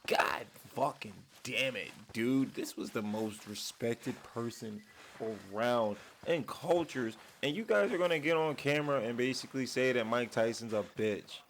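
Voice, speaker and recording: Sick male vlog host, raspy and gravelly, cussing rant at home. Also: mid-range voice, unhurried, a little hiss or hum. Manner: profane, heated rant. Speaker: channel host. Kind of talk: casual ranting commentary. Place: man cave at home.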